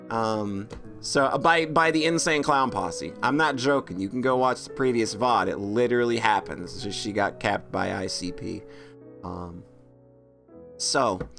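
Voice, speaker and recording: A woman's voice: deep voice, a bit bright. A woman streaming a game, chatting with viewers in a self-deprecating joking way. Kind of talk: casual game stream chat.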